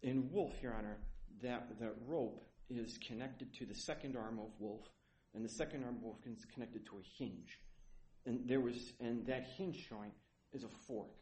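A man's voice: medium pitch, moderate pace, warm tone. A male attorney making a methodical oral argument before a judge, often restarting and stumbling over fillers. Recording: roomy echo with faint noise.

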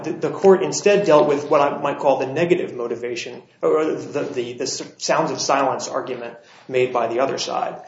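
Attorney, male, slightly scratchy voice, measured delivery with filler pauses; roomy, dull courtroom recording. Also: mid-range voice, creaky. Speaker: appellate attorney. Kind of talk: courtroom oral argument.

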